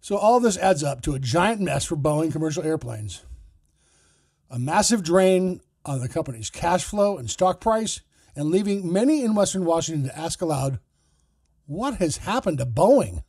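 Host-reporter, male, husky and mellow, dry close mic. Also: a little high, expressive, no room sound. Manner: measured, explanatory delivery. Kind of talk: scripted news narration.

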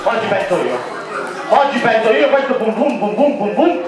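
Man clowning on stage, lively pitch, echoey distant sound, crowd noise behind. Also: moderate pace, soft background music. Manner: clowning, loud and exclaiming. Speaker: entertainer. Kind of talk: live comic stage show for children. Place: outdoor holiday camp stage.

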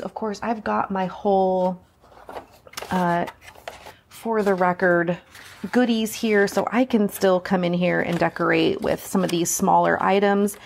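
A woman's voice: mid-range voice, gravelly, a little hiss or hum. A woman hosting a casual hands-on craft tutorial, chatting informally and thinking aloud as she works.

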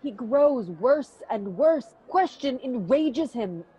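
A woman's voice: high-pitched, expressive, slow pace, dark tone, slightly rough, muffled recording, exaggerated voice. A woman playing a firm, commanding queen. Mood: urgent. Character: Lady Macbeth, a cool, commanding queen. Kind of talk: radio drama acting.

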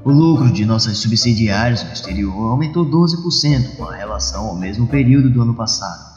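A man's voice: mid-range voice, animated, a little fast, darkish tone, voice pushed down, close to an everyday voice. A man voicing a nervous, deferential accountant reporting figures in a comic-book dub. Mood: formal. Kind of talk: dubbed comic-book dialogue. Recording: echoey, muffled, music behind.

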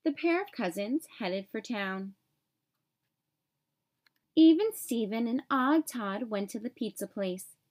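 Slow, very animated woman storyteller. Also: a little high. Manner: expressive, clearly paced for young children. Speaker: read-aloud storyteller. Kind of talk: children's picture book narration.

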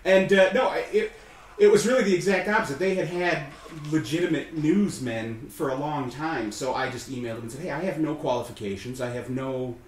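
A man's voice: a little high, a little fast, echoey room. A man being interviewed as a guest, with a nasal voice, who talks in a casual, self-deprecating way and often laughs and restarts his sentences. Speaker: guest, radio news reader and comic writer.

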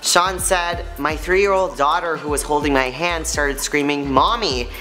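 High-voiced young man hosting a news recap. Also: a little slow, faint music behind. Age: young adult. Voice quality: high-pitched voice. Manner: chatty, playful and expressive. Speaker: host. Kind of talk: upbeat scripted news recap.

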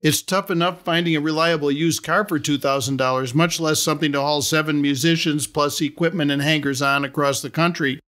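Man, middle-aged, podcast host, scripted narration, wry and measured, somewhat high pitch. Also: steady tone, fairly bright tone. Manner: measured, wry storyteller reading aloud.

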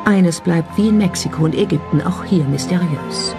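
A narrator delivers scripted documentary narration in a medium-pitched voice. The studio voice-over sits over clearly audible music. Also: normal speed.

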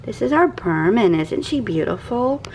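Woman in her 40s, chatty, rambling, lively high voice, home kitchen, camera mic. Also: normal speed, a little hiss or hum. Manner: chatty, rambling, easily sidetracked. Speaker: vlogger. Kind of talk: casual grocery haul chat.

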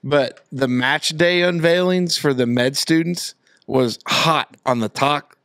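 A man's voice: a little high, slow pace, a bit bright, no room sound, clean studio sound. A man hosting a casual podcast chat, reading a news item and then chatting loosely with his co-hosts. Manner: reads news aloud, then chats loosely. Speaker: podcast host.

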